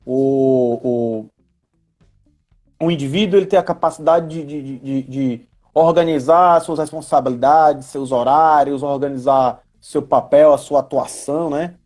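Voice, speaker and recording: A Brazilian woman talks through ideas in an informal live conversation. Her voice is husky and mellow, sitting a little low for a woman. She teaches as she chats, often seeking agreement with short tag questions. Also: a little slow, faint music behind. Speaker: guest explaining role-playing games in education and work. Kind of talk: casual explanatory live chat.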